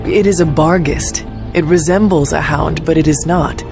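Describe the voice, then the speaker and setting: An actress playing a solemn resurrected warrior in a video game, speaking formally and earnestly with measured care. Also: female voice, low voice, gravelly, over music. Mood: solemn, curious. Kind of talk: video game cutscene acting.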